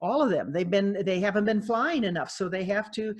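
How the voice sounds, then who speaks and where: A woman author speaking as a guest on a video call, chatty and animated, laughing often. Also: mid-range voice, brisk. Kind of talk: video call interview, conversational.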